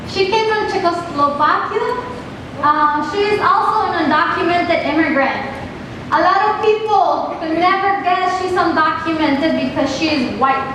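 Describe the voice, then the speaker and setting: A woman's voice: very high-pitched, background noise. A woman from Mexico with a Mexican Spanish accent, giving an earnest, direct talk to a class and asking the students questions. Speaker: guest speaker to a class. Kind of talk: classroom presentation. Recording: echoey classroom from far off.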